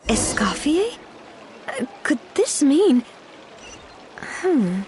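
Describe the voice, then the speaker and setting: A woman playing a poised, friendly young female companion in a video game, explaining things in a measured, thoughtful way. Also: sits high, wildly swooping pitch, a little mellow, a little hiss or hum, exaggerated voice. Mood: curious, hesitant. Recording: reverberant, with music behind.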